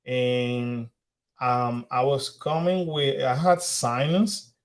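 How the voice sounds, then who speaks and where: Male co-host, chatty livestream, mid-pitched, repeats himself a lot. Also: steady tone, slow pace. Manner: repetitive, restarts often. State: hoarse after losing voice. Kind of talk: casual livestream chat.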